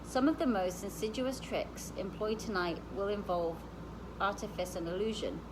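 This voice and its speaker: Woman reading as a calm, knowing caretaker, medium pitch. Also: moderate pace, background noise, close to an everyday voice. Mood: matter-of-fact. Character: calm, knowledgeable, practical adult woman caretaker.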